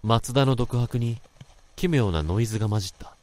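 An actor playing a male office worker who can hear thoughts, narrating a radio drama in a measured, introspective way. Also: medium pitch, darkish tone, slight background noise, natural voice. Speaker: narrator and lead character.

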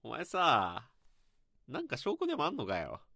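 A man's voice: medium pitch, wildly swooping pitch, normal speed, creaky, pushed and bright, no echo, studio quality, exaggerated voice. An actor playing a combative male office senior accused of murder, in a radio drama. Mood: defiant. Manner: sneering, combative.

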